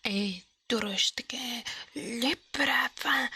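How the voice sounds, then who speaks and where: A woman hosting an ASMR livestream, speaking mostly in a breathy whisper close to the microphone, with playful drawn-out delivery. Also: on the high side, bright, forceful tone, slight background noise. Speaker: livestream host.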